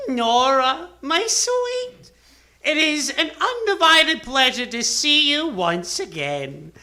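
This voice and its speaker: High-voiced theatrical circus clown. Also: male voice, sing-song, bright, forceful tone, full character voice. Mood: wistful, courtly. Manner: slow, theatrical, flowery phrasing. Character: tall sad-eyed male circus clown, courtly and teasing. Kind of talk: live radio drama acting. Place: theater stage.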